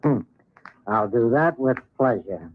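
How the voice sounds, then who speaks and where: A man's voice: mid-range voice, lively intonation, slow. Old west henchman, sneery and taunting, american accent, thin tinny vintage radio sound. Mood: obedient. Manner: taunting tough talk. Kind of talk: old-time radio western acting.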